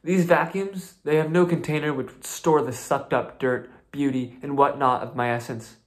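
A man's voice: medium pitch, steady tone, normal speed. A man in his twenties improvising a searching, intense spoken-word monologue in a small bedroom, recorded on a close laptop mic. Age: 20s. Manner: searching, intense, rising into emphatic outbursts. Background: acoustic guitar strumming.

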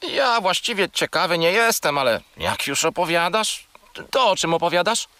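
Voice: medium pitch, sing-song, normal speed, bright, forceful tone, no echo. An actor voicing a grumpy, sulky little male furry pet in a children's audio play, with a matter-of-fact manner. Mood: curious, sceptical.

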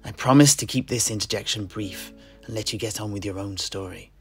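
A man's voice: lowish voice, fairly quick, a bit bright, faint music behind. An older man hosting an audio drama in character as a tavern keeper, genial and lecturing.

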